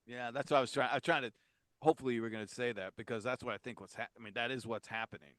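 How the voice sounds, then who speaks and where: A man in the audience, jumping in quickly and eagerly. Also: mid-range voice, steady tone, a bit bright, no echo. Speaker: audience member asking questions.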